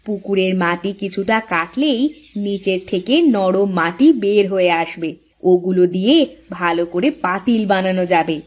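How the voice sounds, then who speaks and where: A woman's voice: mid-range voice, normal speed, close to an everyday voice. A woman voicing a protective, hardworking elder co-wife in a village cartoon, speaking in a firm, motherly, advising way. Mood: caring, practical. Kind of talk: cartoon voice acting.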